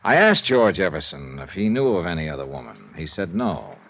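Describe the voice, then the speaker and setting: A low-voiced hardboiled radio investigator. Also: male voice, very animated, fairly quick, fairly bright tone, slightly rough, exaggerated voice. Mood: matter-of-fact, musing. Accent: American. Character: hardboiled, sympathetic male insurance investigator in noir radio. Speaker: lead detective and narrator. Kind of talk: 1950s American radio drama acting. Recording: dull vintage radio recording.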